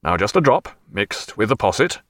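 A man voicing a brisk, hearty naval captain giving orders and coaxing a friend, in a storybook reading. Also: lowish voice, very animated, a bit bright, no echo, studio quality.

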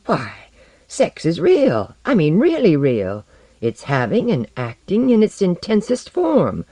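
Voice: medium pitch, huge pitch swings, slow pace, mellow, dry recording, pitched above the performer's own voice, exaggerated voice. A reader voicing an anxious but proud male character who questions everything earnestly. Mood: insistent, puzzled. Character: anxious, proud, searching male blank character seeking identity.

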